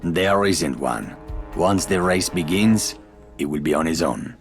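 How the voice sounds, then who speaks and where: An actor playing a proud, determined resistance leader in a video game, speaking in a solemn, commanding way. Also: male voice, deep voice, animated, measured pace, faint music behind. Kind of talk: dramatic video game acting.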